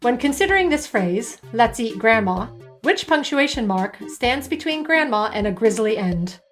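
Bright-voiced woman reading a quiz. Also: a little high, very animated, normal speed, soft background music. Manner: playful, teasing, reads questions crisply. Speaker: podcast co-host and quizmaster. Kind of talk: reading quiz questions on a podcast.

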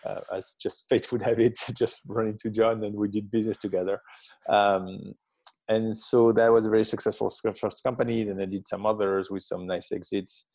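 A French-accented man in his fifties with a low, gravelly voice talks in halting bursts, full of ums and restarts. The sound is dry and close, with no room around it. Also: level tone, darkish tone, no echo. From south of France. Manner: hesitant, many ums and restarts. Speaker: guest, tech company founder. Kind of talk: interview conversation.

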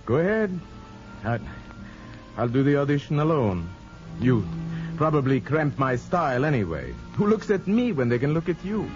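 Frustrated wry stage partner, American, lively pitch swings, pointed, vintage radio play, dull recording. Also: male voice, moderate pace. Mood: exasperated, resigned. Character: wry, frustrated showbiz partner, resigned, quietly devoted. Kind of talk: 1950s American radio drama acting. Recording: muffled old radio broadcast with music.

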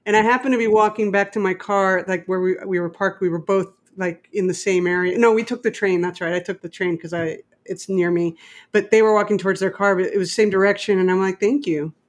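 A woman's voice: mid-range voice, steady tone, a little fast. A middle-aged woman with an American accent, talking conversationally and emphatically, telling stories and giving opinions. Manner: emphatic, opinionated, quotes others in dialogue. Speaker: independent filmmaker and interviewee. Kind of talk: animated conversational storytelling.

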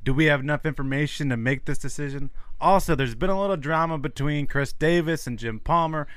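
A man's voice: a little high, fairly quick, a bit bright, dry recording. A man hosting a casual sports talk show, with a stutter in his speech. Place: studio.

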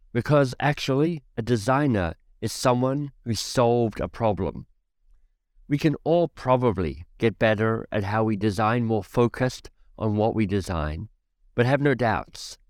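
Low-voiced podcast host. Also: male voice, a little mellow, no room sound, studio quality. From Australia. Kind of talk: scripted podcast narration.

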